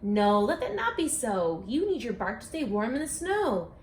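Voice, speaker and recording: Expressive higher-voiced woman reading to children. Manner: expressive, deliberate, directly addressing children. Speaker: children's book author and read-aloud presenter. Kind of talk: read-aloud of a rhyming picture book for children, with asides to young listeners. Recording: echoey room with faint background noise.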